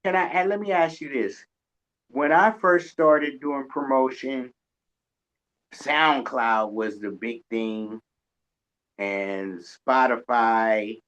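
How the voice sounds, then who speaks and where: A man hosting a casual radio interview, talking in a halting way and often repeating words. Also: medium pitch, fairly bright tone. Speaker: radio show host and interviewer. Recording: dull lo-fi recording.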